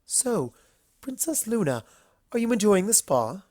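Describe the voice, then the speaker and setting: Performer doing a refined, high-pitched lady unicorn. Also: sing-song, measured pace, darkish tone, no room sound, clean studio sound. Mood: courteous, probing. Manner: polite, formal, careful phrasing. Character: refined, courteous, anxious female unicorn pony.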